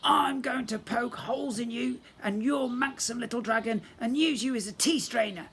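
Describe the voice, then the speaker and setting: Man putting on a high, pompous goblin prince villain. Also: moderate pace, fairly bright tone. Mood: furious. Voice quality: hissing. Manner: pompous, sputtering insults. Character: spoiled, sneering goblin prince, villainous and furious. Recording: clear background noise.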